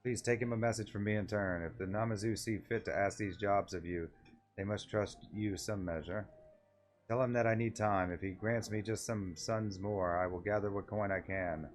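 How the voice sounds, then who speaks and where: A man voicing a distraught village father from a game, halting and imploring as he speaks. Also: on the low side, fairly even intonation, faint music behind, natural voice. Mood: pleading. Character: distraught, pleading village father of a kidnapped daughter. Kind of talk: voiced reading of game dialogue.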